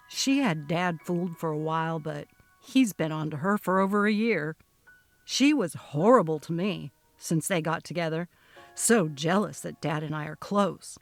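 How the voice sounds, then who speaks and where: An easygoing young man played by a woman in a dramatically read story, pitched down low. He is folksy and confiding, unhurried in his talk, with faint music behind. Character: easygoing young married man, gossipy old flame, bitter about stepsister. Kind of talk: dramatic reading of a text-message story.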